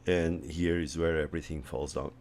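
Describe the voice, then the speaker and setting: A man born in Portugal whose first language is Portuguese, casually commenting on his own chess game as he streams it, thinking aloud and trailing off into quiet asides. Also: rumbling low, steady tone, normal speed, a little hiss or hum.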